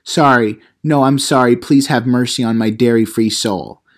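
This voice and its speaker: A man's voice: medium pitch, steady tone, moderate pace, close to an everyday voice. A man playing a cheeky, self-important teenage vlogger who gives mock how-to advice in an audio comedy. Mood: pleading. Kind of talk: comedic how-to vlog monologue.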